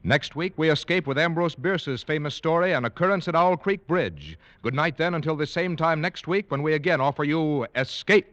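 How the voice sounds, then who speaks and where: A man's voice: a little high, expressive, moderate pace, hardly any pauses, fairly bright tone, no echo, dull audio. A man with an American accent announcing in formal 1940s radio style. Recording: old radio broadcast with music.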